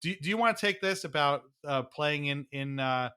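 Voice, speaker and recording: Bright, higher-pitched man chatting about sports. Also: expressive, moderate pace, smooth. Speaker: podcast host. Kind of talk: casual sports talk about hockey.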